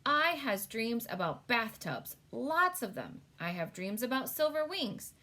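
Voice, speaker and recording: Lady doing a big friendly giant from a kids storybook, puzzled and kind, grammar all mixed up, voice kinda high. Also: very animated, unhurried, sharp tone, exaggerated voice. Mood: eager. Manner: quaint mangled grammar.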